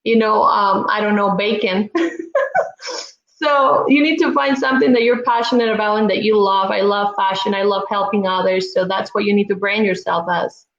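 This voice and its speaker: A woman from Peru hosting a casual livestream interview, enthusiastic and quick with affirmations. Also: medium pitch, steady tone, a bit bright. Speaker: host. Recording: echoey, dull and noisy line.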